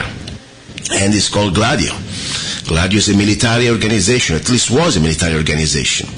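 A middle-aged man from Italy with an Italian accent, hosting an opinionated talk radio show in earnest, halting speech full of fillers and restarts. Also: sits low, a bit bright, a little hiss or hum, low-quality recording.

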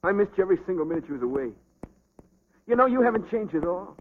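An actor playing an earnest, quick-tempered young American boxer in love, with plain working-class speech in an old film drama. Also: male voice, high voice, lively intonation, narrow, tinny audio, a little hiss or hum. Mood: tender, apologetic.

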